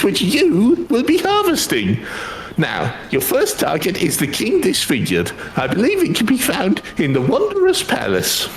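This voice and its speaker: A woman's voice: fairly low pitch, a little hiss or hum, pitched below the performer's own voice, low-quality recording. Woman putting on a haughty, erratic male alchemist. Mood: scheming, imperious. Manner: pompous, theatrical, abruptly commanding. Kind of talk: acted reading of fantasy game dialogue.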